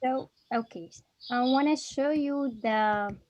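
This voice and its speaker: Young woman, Arabic speaker, slightly higher voice, friendly and giggly, talking over a video call. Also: takes it slowly, muffled recording. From Egypt. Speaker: honors student, club vice president. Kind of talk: casual video call chat.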